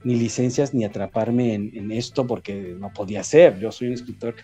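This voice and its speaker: A man with a Mexican accent, a writer answering interview questions in a reflective, halting way with frequent restarts. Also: on the low side, warm tone, soft background music. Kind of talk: conversational interview.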